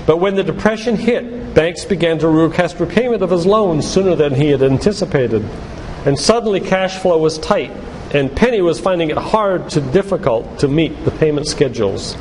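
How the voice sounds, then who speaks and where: An older man giving an earnest, deliberate sermon-like talk with stories and read-out quotations. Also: fairly high pitch, animated, darkish tone. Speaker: physician and lay preacher giving a church talk. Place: church hall, live talk to congregation. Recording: reverberant church hall, background noise.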